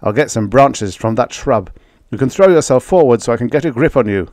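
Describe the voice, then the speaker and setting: A man acts out a steady explorer on Mars in an audiobook adventure. He talks roughly and urgently through gritted teeth, pushing his partner on, in a dry, close recording. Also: lowish voice, normal speed, dry recording.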